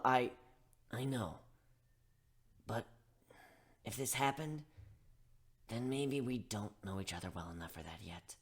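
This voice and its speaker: A man voicing a sensitive young male griffin, speaking gently and sincerely. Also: medium pitch, breathy edge, full character voice.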